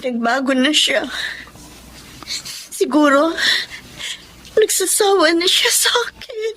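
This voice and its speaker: An actress with a Filipino accent playing a gentle, wistful blind wife in a radio drama, speaking softly and hesitantly. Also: female voice, sits high, lively intonation, takes it slowly, slight background noise, full character voice. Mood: wistful, hurt.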